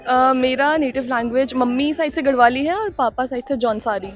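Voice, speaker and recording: A woman's voice: high voice, a little fast. A young woman answering an interview in a chatty way, mixing in English phrases.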